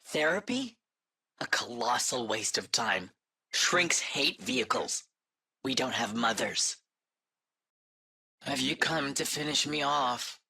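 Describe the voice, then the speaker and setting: Insecure talking car, video game, bitter, blunt, self-deprecating, gravelly, tense edge. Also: mid-range voice, expressive, slow pace, long pauses, bright, forceful tone, full character voice. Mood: dismissive, gloomy. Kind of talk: scripted video game voice acting.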